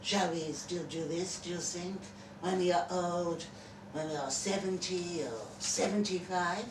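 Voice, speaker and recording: A courteous French gentleman in his fifties, as a woman reader plays him, earnest and self-conscious. He speaks slowly and haltingly, with sentimental care. The live story reading sounds distant and echoey. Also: lowish voice, natural voice. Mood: tentative. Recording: echoey venue, far from mic, noisy.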